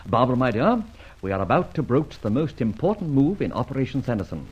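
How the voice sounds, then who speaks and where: Seeking an urbane, amused gentleman adventurer, old radio drama, very animated, on muffled vintage audio. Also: medium pitch, quick pace, slightly rough. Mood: sly, suave. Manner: theatrical, urbane, chuckling, florid phrasing. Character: suave, amused gentleman thief adventurer, self-satisfied and flippant. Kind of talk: 1950s-60s radio serial acting. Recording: dull vintage radio recording, light noise.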